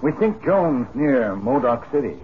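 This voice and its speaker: An actor playing a stoic, terse companion who speaks clipped broken English in a 1950s radio western. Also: male voice, mid-range voice, sing-song, a little slow. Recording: tinny, noisy 1950s radio recording.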